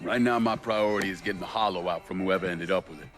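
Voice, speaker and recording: An actor playing a grim, determined young American veteran with a blunt manner in a video game cutscene. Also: male voice, low voice, fast, lightly acted. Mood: resolute. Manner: blunt, resolute. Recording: music behind the voice.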